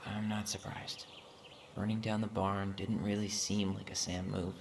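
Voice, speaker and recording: Young American brother, wry, laid-back, slightly low pitch, natural game dialogue, noisy ambient background. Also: male voice, flat delivery, measured pace, vocal fry. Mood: wry, curious. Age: young adult. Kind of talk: naturalistic video game cutscene acting. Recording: game audio with background noise.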